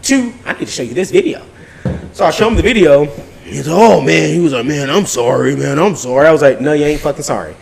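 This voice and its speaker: A man telling a story in an animated, casual way, swearing freely in slangy speech and acting out both sides of arguments. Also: fairly high pitch, quick pace, vocal fry. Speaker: podcast host recapping his weekend.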